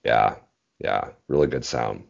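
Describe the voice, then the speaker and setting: A man in his forties chatting as an interview guest about singing, thinking aloud in measured phrases on a video call. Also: a bass voice, creaky. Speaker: interview guest, bass singer. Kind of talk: casual interview chat about singing technique. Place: small indoor room at home, video call. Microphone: earphones on a video call. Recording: dull, muffled video-call audio.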